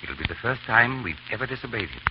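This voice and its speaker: A man playing a loyal, earnest gentleman comrade with a clipped manner in a 1930s radio drama. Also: medium pitch, sing-song, fast, lightly acted. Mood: resolute. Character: loyal gentleman comrade, suspicious then resolute. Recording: dull, faintly noisy 1930s radio recording.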